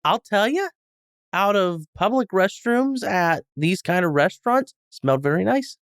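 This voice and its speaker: A man with a somewhat high voice chats casually on a review podcast. His joking delivery halts and rambles, and the recording is dry and close. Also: animated, measured pace, a bit bright, clear, resonant tone, dry recording. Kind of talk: casual comedic chat.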